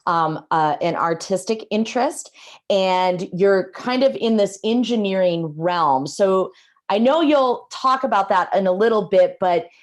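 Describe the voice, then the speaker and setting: A woman's voice: mid-range voice, a little slow. Woman host reading an introduction. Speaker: event host. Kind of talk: reading a speaker introduction, then interviewing. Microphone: video call.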